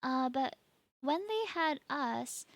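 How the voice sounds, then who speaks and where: A woman's voice: high-pitched, animated, takes it slowly, no room sound. A woman from Singapore, hosting a stream and chatting casually, laughing often. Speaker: streamer host. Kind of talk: casual chat.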